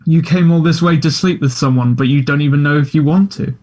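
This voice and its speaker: An actor reading the part of a gentle, patient and quietly direct young man in a play table read. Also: fairly high pitch, fairly quick, natural voice. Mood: calm, probing.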